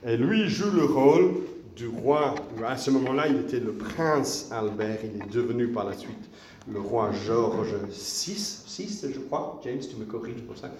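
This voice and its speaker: A man giving a relaxed, chatty sermon, telling a story to the congregation in a church. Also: mid-range voice, a little slow, a little hiss or hum. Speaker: preacher. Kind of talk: conversational sermon, retelling a film. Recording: echoey church from a distance.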